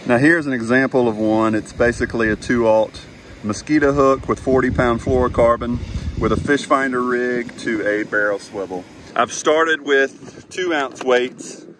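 A chatty man in his thirties talks through a fishing day on an open beach, easygoing one moment and fired up the next. His voice sits mid-range and comes through an action camera on the shore. Steady wind and crashing surf wash clearly under every word. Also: medium pitch, normal speed. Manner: chatty, excitable when a fish hits. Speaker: fishing channel host. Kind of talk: casual outdoor vlog narration. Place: open ocean beach outdoors in wind and rain. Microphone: action camera, chest or handheld. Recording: windy beach with loud surf noise.

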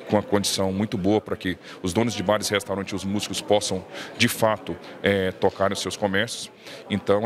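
A man in his thirties with a Brazilian accent answering a reporter's questions into a handheld mic, steady and earnest with filler restarts. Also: fairly low pitch. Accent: Brazilian Portuguese. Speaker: city councilman interviewed. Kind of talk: press interview. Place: indoor legislative hallway.

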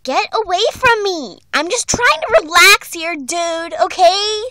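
Woman acting out a teenage girl cartoon doll, extremely high, piercingly bright, perky, emphatic, unhurried. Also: expressive, no echo, exaggerated voice. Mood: annoyed. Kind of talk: amateur cartoon character voice audition.

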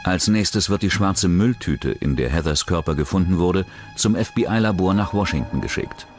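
A man's voice: sits low, animated, normal speed. A man reading scripted true-crime documentary narration. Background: background music.

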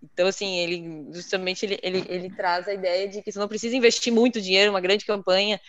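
Young woman, smooth voice, dull call audio. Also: medium pitch, flat delivery, fast talker, no room sound. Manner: filler-heavy, repeating words. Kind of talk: live webinar conversation. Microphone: headset on video call.

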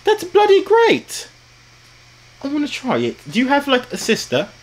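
A man's voice: wildly swooping pitch, normal speed, slight background noise. A young man in casual prank chat on a video call, putting on a fake British accent, jokey and playful in a small bedroom. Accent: fake British put on over American. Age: young adult. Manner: playful, jokey, bursts into loud high exclamations.

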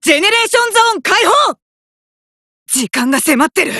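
An actor voicing a cocky, hot-blooded young male fighter in an anime card game, rough-talking and arrogant, with emphatic battle cries. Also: very high voice, very animated, brisk, sharp tone, dry recording, studio quality, exaggerated voice. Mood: commanding, intense.

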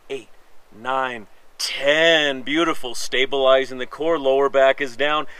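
Guy in his 40s leading pilates outside, kinda nasal, huffing a bit while counting reps, punchy and jokey. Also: on the high side. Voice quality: a little nasal. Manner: energetic coaching, counting reps, joking asides. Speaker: fitness instructor. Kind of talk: workout instruction while exercising. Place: outdoor wooden deck among pine trees.

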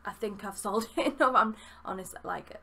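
A woman's voice: mid-range voice, moderate pace, creaky, bright, forceful tone. A young woman with a Yorkshire accent, chatting enthusiastically while reviewing a book at her desk, with plenty of fillers. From Yorkshire, England. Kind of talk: casual book review vlog. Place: small room with bookshelves, indoors.

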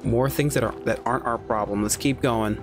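Male streamer, relaxed commentary, curses freely, soft game music underneath. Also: medium pitch, fairly quick. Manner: laid-back, swears freely. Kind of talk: casual gameplay commentary.

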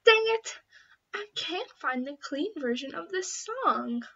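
High, bright woman acting audition lines. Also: very animated, takes it slowly, gravelly, lo-fi sound. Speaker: amateur voice actor auditioning. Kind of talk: acted audition lines in various characters.

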